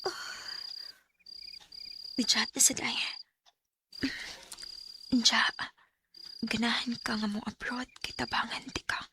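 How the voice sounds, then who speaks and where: A woman acting a mistreated, soft-hearted wife and mother in a radio drama, pleading with a Visayan Filipino accent. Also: on the high side, little pitch movement, slow pace, long pauses, in a whisper. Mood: reflective, troubled.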